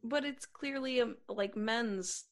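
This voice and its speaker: A woman's voice: on the high side, slow pace. A woman co-hosting a podcast in a playful, teasing chat, laughing often as she tells a true-crime story. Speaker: podcast co-host.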